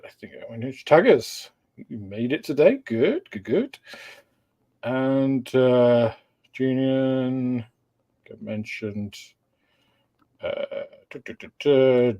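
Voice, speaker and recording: A man's voice: mid-range voice, mellow. Chatty man hosting a hobby livestream. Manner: meandering, hesitant, with frequent filler sounds; chatty and welcoming, with light chuckles. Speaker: host of a model-building livestream. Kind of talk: casual live chat.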